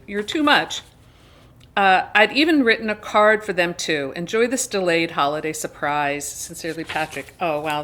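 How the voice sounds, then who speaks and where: A woman's voice: medium pitch, a bit bright. A woman hosting a book vlog, chatting casually and expressively and sometimes reading aloud.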